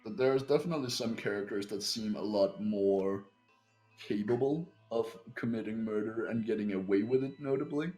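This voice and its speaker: A man's voice: fairly low pitch, normal speed, dark tone, breathy edge, dull audio, soft background music. A man chatting casually with friends during a game, joking around and swearing freely. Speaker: player in a group playthrough. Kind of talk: casual chat.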